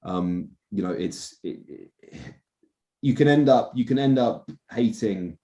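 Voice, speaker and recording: A man's voice: fairly low pitch, expressive, a little slow, dark tone, highs cut off. A young British-raised man, a journalist, talking earnestly in a casual podcast interview with many restarts and fillers.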